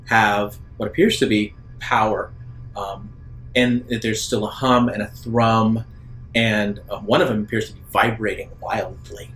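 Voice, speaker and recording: A man acting as game master, improvising narration for a tabletop roleplaying game in halting, thoughtful phrases. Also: mid-range voice, a bit bright, poor audio.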